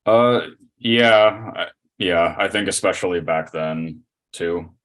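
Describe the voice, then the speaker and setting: A man in a casual podcast chat, explaining things in a halting way. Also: low voice, a bit bright.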